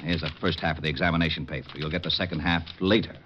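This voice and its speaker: A man's voice: very deep voice, fairly even intonation, rapid-fire, a little hiss or hum. An American man acting a blustery, easily flustered police lieutenant in an old radio drama. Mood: placating, testy. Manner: blustery, exasperated. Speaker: actor playing a police lieutenant. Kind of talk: 1940s-50s radio detective drama acting. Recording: muffled old radio recording.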